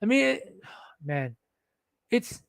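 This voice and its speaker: A man's voice: extremely high pitch, very animated, takes it slowly, vocal fry, clear, resonant tone, no echo, studio quality. A man from the Philippines who speaks with a Singaporean English accent, ranting indignantly to his viewers and often restarting his sentences. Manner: indignant, heated, with frequent restarts. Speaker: vlogger. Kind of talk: ranting monologue to camera.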